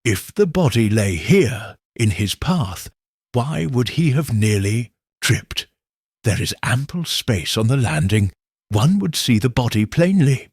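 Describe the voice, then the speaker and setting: A man voicing a shrewd, calm Victorian detective who reasons in a measured, precise way, in a husky voice. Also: medium pitch, no echo, clean studio sound, full character voice.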